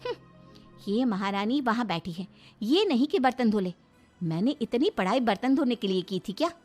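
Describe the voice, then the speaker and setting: A woman's voice: a little high, big pitch swings, normal speed, darkish tone, faint music behind, pitched above the performer's own voice. A woman voicing a sly, conceited young daughter-in-law in a cartoon story, affected and grudging. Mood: grumbling.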